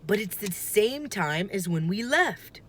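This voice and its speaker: A woman's voice: medium pitch, animated, a little slow, a little hiss or hum, pitched above the performer's own voice, close to an everyday voice. Woman as an earnest, puzzled storybook boy. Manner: questioning, wondering. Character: earnest, puzzled young boy, cautious and wondering. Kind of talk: children's storybook read-aloud.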